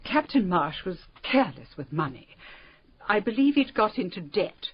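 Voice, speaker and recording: An actress playing a prim, self-assured secretary in a radio drama, speaking in a clipped, firm, formal way. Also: female voice, medium pitch, sing-song, normal speed, husky. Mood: prim, guarded. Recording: dull lo-fi radio drama audio.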